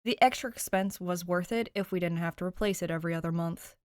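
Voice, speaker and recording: A woman's voice: on the low side, fast, darkish tone, smooth, dry recording, studio quality, natural voice. A woman voicing an easygoing, playful young man in an audiobook story, casual and joking. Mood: explaining.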